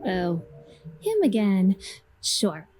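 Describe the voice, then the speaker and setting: A woman voicing a shrewd, self-assured detective in an anime game, with a husky, smooth-talking delivery. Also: mid-range voice, big pitch swings, drawn-out words, a little mellow, slight background noise, poor audio. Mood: sly.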